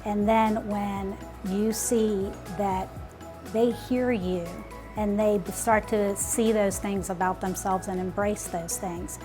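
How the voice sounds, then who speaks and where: Woman, 40s, school principal; earnest, reflective interview voice, mid pitch, music bed underneath. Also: fairly even intonation, slow pace. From United States. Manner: earnest, uses "like" and "you know" often.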